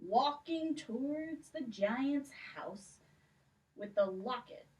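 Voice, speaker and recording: A woman, a children's librarian, narrating a puppet show story in a lively, expressive way. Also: high-pitched, slow pace, recorded from a distance, low-quality recording. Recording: voice from off the mic.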